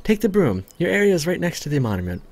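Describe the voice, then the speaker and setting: A man's voice: on the high side, big pitch swings, fast talker, darkish tone, voice pushed up. A Canadian man voicing a cheerful, helpful young woman at a summer camp, reading a visual novel aloud. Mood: friendly.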